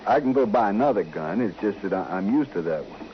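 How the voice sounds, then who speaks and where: A man's voice: on the low side, expressive, fairly quick, close to an everyday voice. An actor playing an anxious, proud reformed gunfighter and family man in an old-time radio western, with folksy, plain-spoken cowboy speech. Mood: insistent. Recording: muffled, noisy old radio recording.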